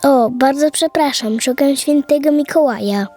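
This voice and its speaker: High, soft-toned little girl, played by a woman. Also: normal speed, natural voice. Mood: polite, pleading. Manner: pleading, polite, earnest. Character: polite, pleading little girl, eager and sweet. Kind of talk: children's audio play acting. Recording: music under the voice.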